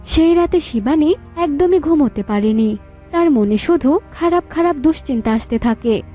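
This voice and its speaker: A woman's voice: high-pitched, lively intonation, moderate pace, dark tone, soft background music. A woman reading scripted story narration.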